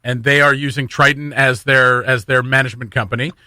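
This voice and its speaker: Man, Canadian accent, radio host, bright forceful voice, dry close studio sound, casual and jokey. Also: medium pitch, steady tone, a little fast, dry recording. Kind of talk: casual morning radio chat. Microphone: close studio microphone.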